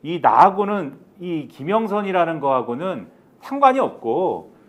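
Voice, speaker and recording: A man offers political analysis as a panelist. His voice is high and swings widely in pitch. He builds his case emphatically, point by point, often posing rhetorical questions. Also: sing-song, moderate pace.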